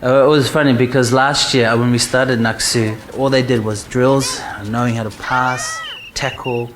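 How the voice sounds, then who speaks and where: Earnest New man, halting fillers. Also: mid-range voice, flat delivery, fairly bright tone, slight background noise. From New Zealand. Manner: earnest, unhurried, frequent "uh" fillers. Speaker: rugby charity co-founder. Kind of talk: interview and documentary testimony.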